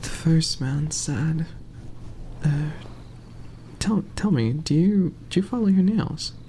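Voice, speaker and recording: Mellow male voice, slightly high, unhurried ASMR reading, hushed and whispery, faint background noise. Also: animated. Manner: hushed, often dropping into whisper. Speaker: ASMR performer reading aloud. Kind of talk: soft ASMR bedtime reading of jokes.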